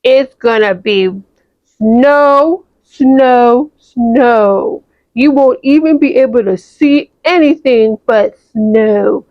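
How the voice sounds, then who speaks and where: Woman doing a solemn newsreader, slow deliberate warnings, lively pitch, dull dry sound. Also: dry recording. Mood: grave. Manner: slow, grave announcer delivery. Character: solemn female TV news anchor. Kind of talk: toy play storytelling.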